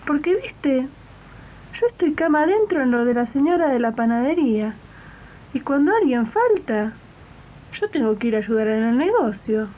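Muffled, high-voiced Argentine maid, acted by a woman. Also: very animated, moderate pace, mellow. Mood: doubtful, agreeable. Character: puzzled, accepting live-in maid, the heroine's friend. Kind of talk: radio drama dialogue. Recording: dull, noisy late-1980s radio recording.

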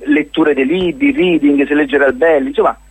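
A man with a Roman accent chatting informally as a guest on a radio show, halting, rambling and joking at his own expense. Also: a little high, wildly swooping pitch, vocal fry, husky, no room sound. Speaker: guest presenting a cultural festival. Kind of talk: informal radio interview, unscripted chat.

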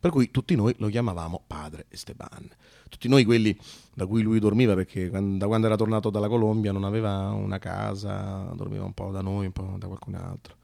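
A man performing a conversational autobiographical monologue, halting and repeating himself with dramatic pauses. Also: on the low side, steady tone, vocal fry, warm tone, no room sound, clean studio sound. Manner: halting, broken phrases with dramatic pauses and repetitions. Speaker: actor and narrator of a radio monologue. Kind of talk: theatrical autobiographical storytelling, conversational.

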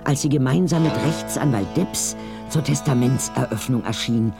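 A man's voice: mid-range voice, moderate pace, vocal fry, music in the background. A man narrating a scripted audio drama in a suspenseful storytelling style.

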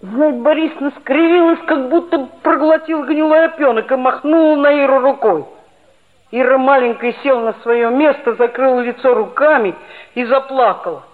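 An actress playing an earnest, mischievous schoolboy who narrates a radio play in a mock-serious, expressive way. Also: female voice, sits high, moderate pace, natural voice. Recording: tinny vintage 1960s radio recording.

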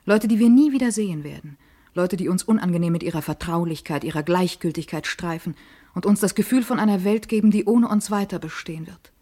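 An actress playing a detached, observant woman in a literary radio drama, measured and poetic. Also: lowish voice, dark tone, husky. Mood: pensive.

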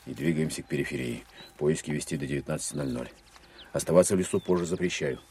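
An actor playing a stern, weary army captain in a war audio drama, speaking in a curt, authoritative, matter-of-fact way. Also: male voice, very deep voice, expressive, fast, gravelly, a little mellow, a little hiss or hum, full character voice. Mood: firm, commanding. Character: stern, weary male army captain leading a search team.

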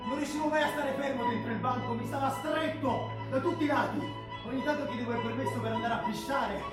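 A man's voice: very high-pitched, fairly quick. A man playing a tough, restless young rugby player from the streets in a projected theatrical monologue. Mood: intense, nostalgic. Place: large theatre stage. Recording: echoey theatre from far off, loud music.

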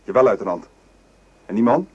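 An actor playing a formal, suspicious French sentry in a radio play, clipped and official. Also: male voice, lowish voice, expressive, fairly quick, husky, no room sound. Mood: stern, dutiful. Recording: dull, noisy old radio recording.

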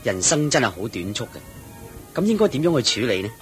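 An actor with a Hong Kong Cantonese accent playing an earnest monk disciple in a radio drama, deferential and inquiring. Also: male voice, sing-song, brisk, soft background music, lightly acted. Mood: earnest questioning. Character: Ananda, earnest, respectful male monk disciple. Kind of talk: Buddhist radio drama acting. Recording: noisy recording with low rumble.

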